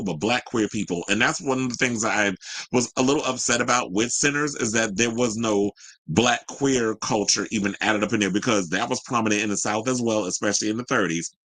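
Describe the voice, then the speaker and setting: A man's voice: medium pitch, a bit bright, no room sound, low-quality recording. A man hosting a talk show, giving casual, opinionated entertainment news commentary with slangy asides as he reads.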